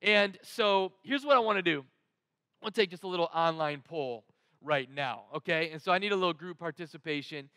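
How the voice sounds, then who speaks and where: A male pastor delivers a conversational sermon in a high, bright and forceful voice. He leans emphatically on key words and sets them apart with deliberate pauses. The sound is close and dry, from a headset microphone. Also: no echo. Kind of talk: sermon to an online congregation. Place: large dark auditorium stage, indoors.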